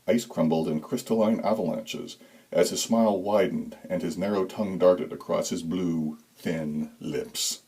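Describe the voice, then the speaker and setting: A man narrates a story in a fairly low voice. His delivery is deliberate and dramatic, with expressive emphasis that builds suspense. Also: poor audio. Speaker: author reading own story.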